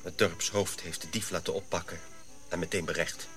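An actor playing a dutiful, questioning Dutch colonial officer, earnest and precise, in a radio drama. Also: male voice, sits low, steady tone, moderate pace, a bit bright, faint music behind. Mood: grave.

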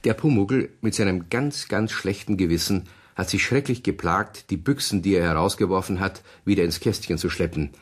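Male narrator for a children's radio play: deep, raspy tone, animated storytelling delivery. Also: normal speed, few pauses.

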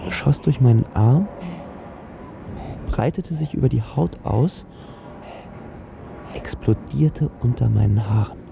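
Slangy lovestruck teenage boy, slightly gravelly. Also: mid-range voice, lively intonation, takes it slowly, pauses often, phone-quality sound, noisy background, exaggerated voice. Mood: excited, awestruck. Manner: slangy, colloquial. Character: lovestruck, self-doubting seventeen-year-old boy from a freewheeling family. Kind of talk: scripted first-person radio drama narration.

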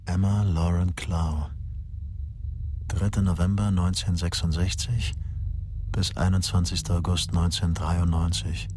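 Deep, mellow, slow-speaking detective, played by a man. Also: flat delivery, slight background noise, lightly acted. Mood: solemn. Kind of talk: German radio drama acting.

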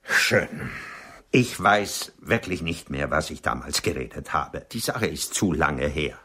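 Snobby older poet in a radio play, deep voice that moves around a lot, dry and formal. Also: male voice, lively intonation, measured pace, bright, forceful tone, breathy edge, exaggerated voice. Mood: scornful. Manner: dry, condescending, formally articulate. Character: vain, aloof, aging Viennese male poet, sharp-tongued. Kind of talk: German radio play acting.

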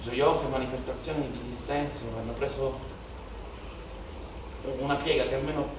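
A man's voice: medium pitch, steady tone, moderate pace, close to an everyday voice. A male stage actor playing an impassioned, haunted young protester in a declamatory theatrical monologue, with incantatory repetition. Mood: tense, suspicious. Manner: declamatory, incantatory repetition, swelling from hushed to shouted. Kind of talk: theatrical dramatic monologue in Italian political theatre. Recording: very echoey theatre, distant mic, noisy.

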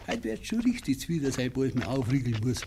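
An actor playing a tipsy, grumbling Bavarian farmer in broad dialect in a 1950s radio play. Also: male voice, mid-range voice, very animated, fairly quick, gravelly. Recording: noisy old 1950s radio recording.

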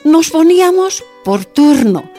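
Mujer minera boliviana, habla pausada. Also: female voice, high-pitched, very animated, warm tone, faint music behind, exaggerated voice. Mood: sombre. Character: Bolivian miner's wife, humble, plain-spoken, recalling hardship. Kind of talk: dramatized first-person testimony in a radio drama.

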